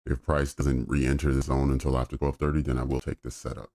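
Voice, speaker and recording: A man with a very deep voice streaming live, talking through trades in a relaxed way with fillers. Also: fast talker, no echo, clean studio sound. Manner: relaxed, thinking aloud with fillers. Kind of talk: live trading commentary.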